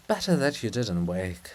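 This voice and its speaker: A man playing a weary, scolding woman statue, slow and sighing. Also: on the low side, voice pushed down, heavily acted. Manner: sighing, dismissive. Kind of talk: theatrical dramatic reading.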